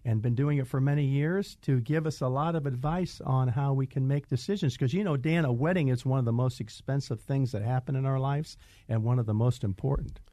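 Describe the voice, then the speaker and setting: A man's voice: medium pitch, a little fast, warm tone, no echo, clean studio sound. An older man hosting a radio home show, talking casually and repeating himself as he goes. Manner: chatty, repeats words, stumbles.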